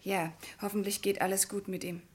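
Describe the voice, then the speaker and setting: An actress playing a friendly, admiring young secretary who speaks politely and readily in an old radio play. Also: female voice, mid-range voice, little pitch movement, fairly quick, breathy edge, lightly acted. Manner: friendly and respectful, light laughter. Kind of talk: 1950s-80s radio play acting.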